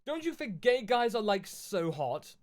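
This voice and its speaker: A man voicing a melodramatic, crude teenage goth girl in a shrill, overwrought way. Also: very animated, unhurried. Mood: gushing. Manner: shrill, breathless, overwrought delivery.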